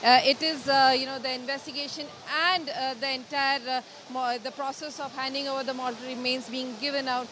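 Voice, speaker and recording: High-pitched Indian woman reporting, noisy outdoors. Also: level tone, a little fast, hardly any pauses. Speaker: field news reporter. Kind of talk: live broadcast reporting. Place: outdoors outside a hospital mortuary in rain. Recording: noisy outdoor live link with rain and traffic.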